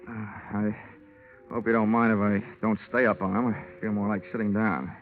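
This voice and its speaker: A man's voice: on the low side, flat delivery, moderate pace, close to an everyday voice. An American man playing a wry, wisecracking insurance investigator, dry and hard-boiled, in an old-time radio detective show. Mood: dry, probing. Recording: muffled old radio recording with music behind.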